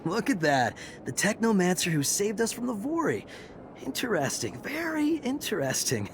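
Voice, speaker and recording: Genial, lively-pitched man, noisy surroundings. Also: normal speed, full character voice. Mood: genial, intrigued. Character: genial, intrigued man welcoming friends to his city. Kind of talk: video game voice acting. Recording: game dialogue over clear ambient noise.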